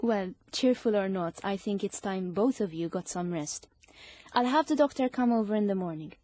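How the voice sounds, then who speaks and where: A woman's voice: medium pitch, expressive, normal speed, dark tone, no room sound, lightly acted. A woman playing a prim, caring young British army nurse in a period radio drama. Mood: proper, earnest.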